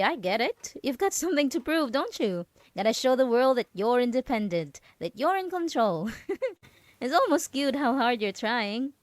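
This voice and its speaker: A woman's voice: fairly high pitch, no room sound. A woman playing a possessive, mocking yandere roommate in an ASMR roleplay, teasing and condescending as she lingers on her words. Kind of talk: dramatic ASMR roleplay monologue.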